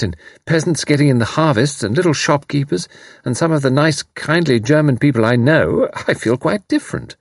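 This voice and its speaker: A man voicing a brisk, kindly young woman who speaks robustly and reassuringly. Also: mid-range voice, breathy edge, no echo, clean studio sound, pitched above the performer's own voice.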